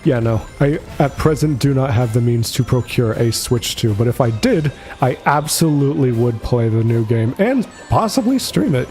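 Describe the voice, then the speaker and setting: A man's voice: mid-range voice, animated, moderate pace, hardly any pauses, a little mellow. A man giving casual, jokey livestream commentary on a video game and chuckling often. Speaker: streamer. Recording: game music behind the voice.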